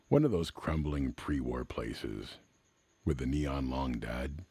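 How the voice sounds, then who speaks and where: A man with an extremely low, gravelly voice tells a story in slow, measured phrases. His delivery is somber and cinematic, rising and falling widely between dramatic pauses, recorded close and dry. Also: darkish tone, no echo. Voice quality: very deep, gravelly, husky. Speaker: storyteller, narrator. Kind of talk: slow cinematic scripted narration, classic radio drama style.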